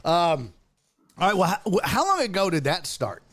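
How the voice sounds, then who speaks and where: A man's voice: high-pitched, huge pitch swings, normal speed, fairly bright tone, no echo. A man hosting a casual interview show, talking in slangy, profane, buddy-to-buddy style. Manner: profane, slangy, addresses guest as dude. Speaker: talk show host and comic.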